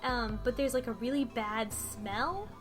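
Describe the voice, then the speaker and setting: A woman in casual group chat recapping a game, animated and quick to exclaim. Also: fairly high pitch, music in the background. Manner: animated, exclaims and laughs often. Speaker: podcast co-host.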